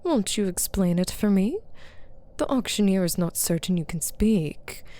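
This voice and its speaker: A woman voicing a stern, taunting female demon captor in an ASMR roleplay, authoritative and teasing, often dropping to a breathy murmur. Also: medium pitch, expressive, a little slow, mellow, close to an everyday voice. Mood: coaxing, condescending. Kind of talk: ASMR roleplay monologue to the listener.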